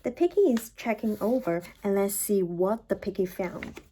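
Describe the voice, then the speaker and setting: A woman's voice: medium pitch, expressive, normal speed, mellow, slight background noise. A woman who teaches nursery school, reading a picture book aloud for young children.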